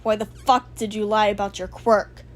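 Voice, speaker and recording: A woman's voice: mid-range voice, flat delivery, normal speed, close to an everyday voice. A woman voicing an explosive, furious teenage boy bully, aggressive and demanding, in an amateur story reading.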